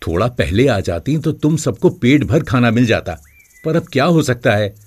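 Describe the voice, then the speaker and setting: A man playing a gruff, resigned server at a charity food line in a children's story. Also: medium pitch, sing-song, normal speed, darkish tone. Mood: blunt, resigned.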